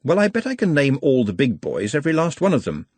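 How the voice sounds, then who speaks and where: A man's voice: medium pitch, huge pitch swings, fast, darkish tone, husky, no room sound, pitched above the performer's own voice. A man voicing a tired female police sergeant with a dry, mocking manner. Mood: sardonic.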